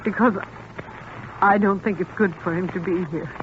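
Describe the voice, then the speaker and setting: An actor playing a fragile, devoted wife in a 1940s radio thriller, with deliberate, tremulous delivery. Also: male voice, high-pitched, fairly even intonation, close to an everyday voice. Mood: gentle resolve. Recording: muffled, noisy 1940s radio recording.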